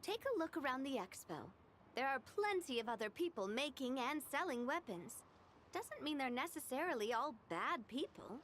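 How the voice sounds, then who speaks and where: An actress voicing a kind young woman leader in a game, gentle and reassuring. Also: high voice, very animated, moderate pace, a little hiss or hum. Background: game music.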